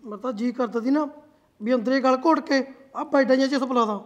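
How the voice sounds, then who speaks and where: A man's voice: extremely high pitch, normal speed. A man playing a suspicious, jealous young husband. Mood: bitter. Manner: accusing, insistent.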